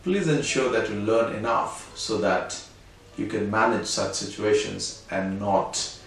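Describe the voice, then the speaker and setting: A man's voice: fairly low pitch, measured pace, vocal fry. A middle-aged man from India with an Indian English accent, telling a personal anecdote in a conversational way and closing with a lesson. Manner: animated, conversational, moral at the end. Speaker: storyteller host. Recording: very echoey room, distant mic, faint music.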